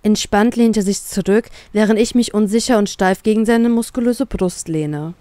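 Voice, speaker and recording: A woman's voice: mid-range voice, normal speed, dark tone, dry recording. A woman narrating a scripted first-person story as an audiobook. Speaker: narrator of a fan fiction story.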